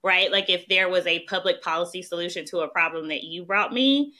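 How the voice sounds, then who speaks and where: A woman with a strong Southern accent speaks in a lowish voice with a forceful, bright edge. She is a chatty podcast guest who tells her career story in a conversational way, dotted with "um" and "right?". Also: a little fast. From Mississippi, US. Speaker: podcast guest, policy expert. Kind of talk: conversational interview.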